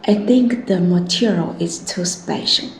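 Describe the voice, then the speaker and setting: A woman's voice: lowish voice, measured pace. A woman presenting a casual product first look as a video host. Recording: roomy echo, clear background noise.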